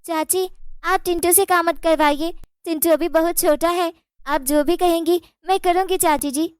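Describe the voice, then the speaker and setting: A woman's voice: very high-pitched, fairly even intonation, moderate pace, no echo, clean studio sound. A woman voicing a timid orphan girl in a cartoon story, a protective older sister who pleads respectfully and plaintively. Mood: pleading. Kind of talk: Hindi cartoon moral story.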